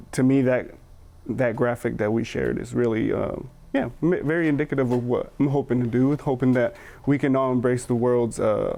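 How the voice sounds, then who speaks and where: A man speaking as a panelist, thoughtful and hesitant, pausing often to find his words. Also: medium pitch, gravelly, mellow. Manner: thoughtful, hesitant, with many fillers and restarts. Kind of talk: reflective panel discussion.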